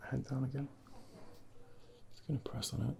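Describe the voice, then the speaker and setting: Soft-spoken, very creaky ASMR man. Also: mid-range voice, steady tone. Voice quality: breathy soft voice slipping into whispers. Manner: gentle, reassuring, unhurried asides. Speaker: ASMR performer playing a junior doctor. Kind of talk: ASMR medical role play, soft-spoken and partly whispered. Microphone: close binaural microphone. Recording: clearly noisy close binaural recording, ambient background.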